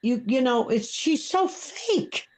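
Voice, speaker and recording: A woman's voice: sits high, sing-song, slow pace, a crowd in the background. Older brooklyn lady in her 70s, blunt and heated, keeps repeating herself. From Brooklyn, New York. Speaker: live stream guest. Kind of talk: casual chat.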